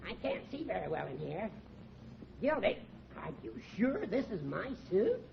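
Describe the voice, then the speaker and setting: A man's voice: high-pitched, sing-song, moderate pace, gravelly, exaggerated voice. An actor playing a fussy, indignant older male judge in a 1940s radio comedy. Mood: grumbling, baffled. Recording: muffled, noisy old radio recording.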